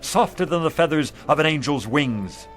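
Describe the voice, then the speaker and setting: Flowery, persuasive street vendor, music behind. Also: male voice, on the high side, lively intonation, moderate pace, fairly bright tone. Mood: grand sales patter. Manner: flowery, patter-filled salesman delivery. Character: down-on-his-luck male street vendor, fast-talking, persuasive pitchman. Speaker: radio drama actor. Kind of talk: radio drama acting. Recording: music under the dialogue.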